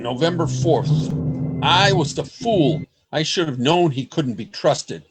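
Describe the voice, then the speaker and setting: A man acting as an earnest, anguished lover in a radio drama. The voice is fairly high for a man and husky, with slow, pleading phrases that rise and fall widely. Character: anguished lovesick man, earnest, desperate, hiding among others.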